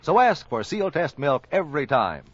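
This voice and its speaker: A man's voice: a little high, sing-song, moderate pace, no room sound. A man reading a scripted 1940s radio advertisement as the commercial announcer. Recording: dry, dull old radio recording.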